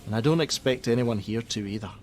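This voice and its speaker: A man acting a gruff, jaded helpline worker. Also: mid-range voice, fast talker, a little mellow, a little hiss or hum, natural voice. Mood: defiant. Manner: blunt, sardonic, defensive. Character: jaded, gruff, hot-tempered male crisis-line worker and father. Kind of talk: naturalistic radio play acting.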